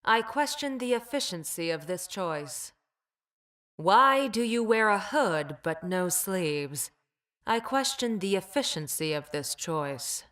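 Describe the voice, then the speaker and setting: An actress playing a cold, logical engineered creature in a video game, speaking in a deadpan, precise and formal way. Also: female voice, mid-range voice, slow. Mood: cold, reproachful. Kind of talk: video game character voice lines.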